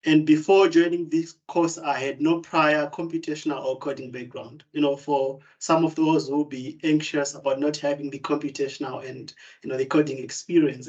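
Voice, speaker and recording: A man's voice: mid-range voice, a little mellow, lo-fi sound. A young man from Zimbabwe, a student, presenting on a video call with many fillers and restarts. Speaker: student speaker. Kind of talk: online open day presentation.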